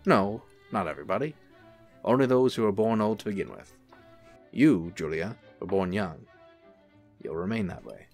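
A man acts out a suave angel in human form for an audio drama. He is courtly and playful, with a lively, swooping delivery and a creaky edge. Music plays behind him. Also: low-pitched, moderate pace, pauses often, exaggerated voice. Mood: charming, tender. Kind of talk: radio-play style dramatic acting.

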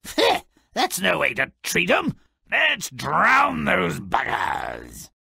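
An actor playing a gleefully malicious, sardonic little fairy-tale troublemaker in a video game, with a raspy, gravelly voice and a theatrical, gloating delivery. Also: male voice, high voice, wildly swooping pitch, slow, no echo, studio quality, exaggerated voice. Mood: gleeful, loud. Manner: sardonic, gloating, theatrical, swings from murmur to shout. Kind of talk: theatrical scripted game narration.

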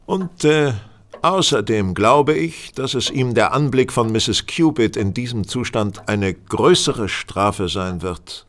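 An actor in a German audio drama playing a shrewd, cool gentleman detective who speaks in a measured, precise, superior way. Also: medium pitch, lively intonation. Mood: composed, pointed.

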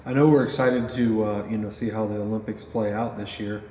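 Man, thirties, casual interviewer, flat delivery, low pitch, faint noise behind. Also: fairly quick. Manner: casual, many fillers, explaining gear. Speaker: company pro staff interviewer. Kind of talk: product interview. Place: indoor archery range. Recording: roomy echo, dull, faint noise.

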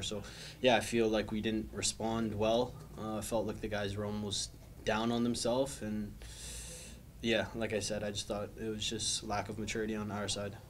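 Young male soccer player, twenties, low monotone, hesitant but thoughtful, quiet room with slight noise. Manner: measured, thoughtful, many ums and restarts. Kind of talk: post-match press interview. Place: small indoor press room, backdrop wall.

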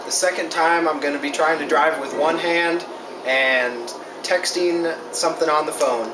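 A young man casually explaining a home gaming setup in a plain, unhurried way with some fillers, recorded at home. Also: a little high, steady tone, recorded from a distance. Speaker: vlogger. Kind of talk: casual home video demo. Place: home room with a TV and game setup. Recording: roomy home camera audio with background noise.

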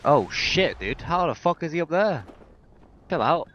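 A man's voice: fairly high pitch, very animated, unhurried, a bit bright, muffled recording, slight background noise. A man on an esports team talking casually in game, in clipped phrases that he often repeats. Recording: game voice chat over gunfire. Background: gunfire and game sounds.

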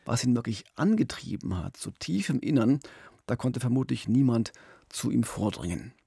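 A middle-aged man tells a crime story in conversational podcast style. His medium-pitched voice has a noticeable fry, and the studio recording is very dry. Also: expressive, moderate pace, warm tone, no echo. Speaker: podcast co-host, crime reporter. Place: small radio studio. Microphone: studio microphone with pop filter, headphones.

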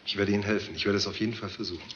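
A guarded male doctor in a radio crime play, acted by a man. He speaks low and fast, defensively, on a dull recording with faint noise. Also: fairly even intonation, natural voice. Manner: hedging, guarded. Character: evasive, defensive male doctor under questioning. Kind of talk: German radio crime drama acting.

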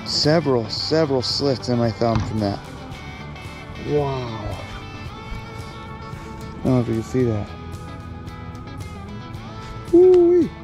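A man in his thirties, a fishing vlogger, chatting casually and swearing from his kayak, with excited whoops when a fish bites. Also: medium pitch, slow, long pauses, music in the background. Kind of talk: casual outdoor vlog chat. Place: outdoors in a kayak on a river. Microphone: action camera on the kayak.

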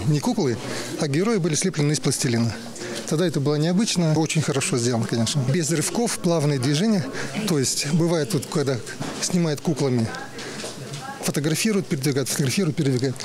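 Quick-talking man in his sixties. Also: a little high, animated. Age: 60s. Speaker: street interviewee. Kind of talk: informal vox pop interview answer. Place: large busy indoor public service hall. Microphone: handheld reporter microphone. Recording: busy hall crowd noise behind.

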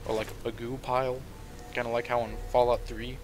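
Soft-spoken young man rambling over gameplay. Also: medium pitch, level tone, fairly quick, slight background noise. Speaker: gaming channel host. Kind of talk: casual gameplay commentary. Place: at home.

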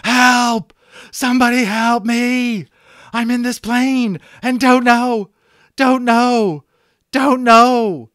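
A man voicing a panicked teenage boy stranded in a small plane, pleading and shouting frantically. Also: extremely high pitch, steady tone, slow pace, no echo, studio quality, voice pushed up, exaggerated voice.

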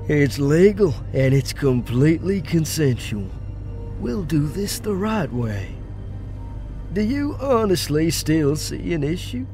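Smug manic doctor turned fungal cult persuader, played by a man, theatrical and coaxing, unhurried, music underneath. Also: on the high side, darkish tone. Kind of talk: comic dub voice acting.

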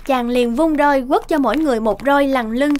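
A woman's voice: high voice, normal speed, a little mellow, a little hiss or hum, close to an everyday voice. A woman working as the scripted narrator of a cartoon fairy tale, telling the story. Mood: storytelling.

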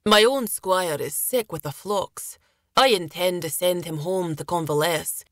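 A woman's voice: lowish voice, a little slow, no echo, clean studio sound, natural voice. Woman voicing a lilting, mature male officer. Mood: calm authority. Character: mature male Corinthian officer, amused, lenient, authoritative.

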